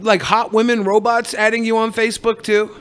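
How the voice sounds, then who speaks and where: Guy with a pretty high voice, podcast cohost, dry sarcastic banter, swears a lot. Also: flat delivery, a little fast, fairly bright tone, smooth. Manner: sardonic, profane. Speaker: podcast co-host. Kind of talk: casual comedic podcast chat.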